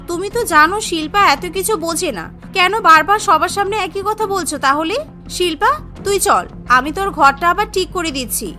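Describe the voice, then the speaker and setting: A woman's voice: very high voice, sharp tone, exaggerated voice. A woman voicing a kind, protective, slightly bossy young rich woman in a story, reassuring and insistent. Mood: pleading, protective.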